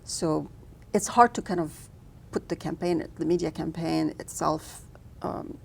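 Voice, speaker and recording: A woman filmmaker from Lebanon answers interview questions in a fairly low, gravelly voice. She speaks thoughtfully and often restarts her sentences. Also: fairly even intonation, a little slow, darkish tone, slight background noise. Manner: thoughtful, frequent restarts and fillers. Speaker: filmmaker guest.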